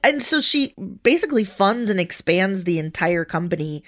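A woman co-hosting a podcast, explaining facts in a steady run in casual chat. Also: medium pitch, normal speed. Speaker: podcast co-host.

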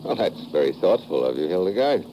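An actor playing a tough, wry American secret agent in a 1950s radio drama, terse and wisecracking. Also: male voice, deep voice, very animated, a little fast, lo-fi sound. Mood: wry, alert. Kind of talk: 1950s radio drama acting with first-person narration. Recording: noisy old radio drama recording.

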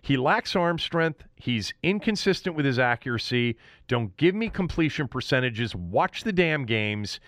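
A man's voice: medium pitch, expressive, unhurried, few pauses, a bit bright, no room sound, clean studio sound. An American man hosting a sports talk show, giving an opinionated football recap in a blunt, emphatic manner.

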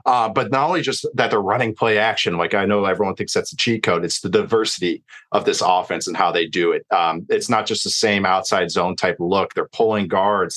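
A male football analyst with a fairly low voice. He talks casually and enthusiastically, in conversational podcast style. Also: fairly bright tone.

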